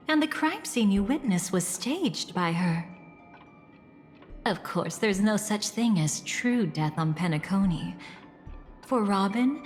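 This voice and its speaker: A woman voicing a poised, gentle and coy guide in a video game, speaking in a measured, intimate, enigmatic way. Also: medium pitch. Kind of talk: dramatic video game voice acting. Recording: long reverb, faint music behind. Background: soft background music.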